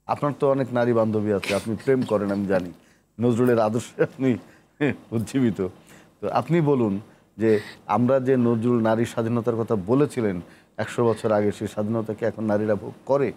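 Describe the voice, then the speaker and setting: A man's voice: fairly low pitch, fairly even intonation, normal speed, warm tone. A Bangladeshi man hosting a television interview, putting questions and comments to his guest, with some background noise. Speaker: interview host, poet.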